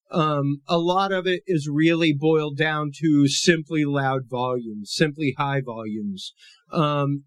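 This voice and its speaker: A man's voice: a little high, dry recording. A man hosting a podcast, talking through a topic in a loose, conversational, explanatory way with a big, full voice. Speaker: podcast host. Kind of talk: casual educational podcast monologue.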